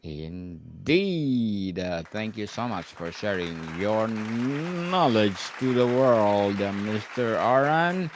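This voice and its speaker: A man's voice: on the low side, big pitch swings, slow pace, few pauses, background noise. A man hosting a book podcast who asks his questions formally and politely, calling his guest mister. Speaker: podcast host. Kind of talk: interview hosting.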